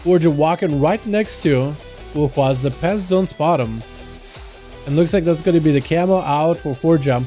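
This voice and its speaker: High-voiced casual game commentator. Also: male voice, normal speed, dark tone, soft background music. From Russia. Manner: chatty, calls out to chat as "boys". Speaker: livestream host and duel caster.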